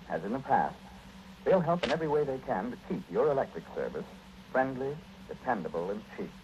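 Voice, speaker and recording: Lively 1940s American radio announcer man. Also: mid-range voice, a little hiss or hum. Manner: formal, declamatory. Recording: muffled vintage 1940s radio broadcast.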